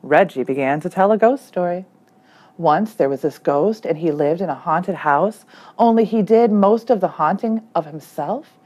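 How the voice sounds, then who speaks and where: Female teacher for picture-book narration; expressive delivery with animated, wide-swinging intonation. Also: lowish voice, moderate pace, highs cut off. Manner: animated, acts out the dialogue. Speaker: third grade teacher reading aloud. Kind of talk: expressive children's storybook read-aloud.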